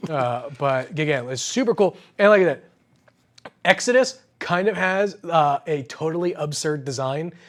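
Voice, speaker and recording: The excitable, slangy guy fronting a hobby unboxing video, voice pitched a little high, marveling over each new thing. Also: a little slow. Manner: enthusiastic, exclamatory, slangy. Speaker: host of an unboxing show. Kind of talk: casual, excited product unboxing chat.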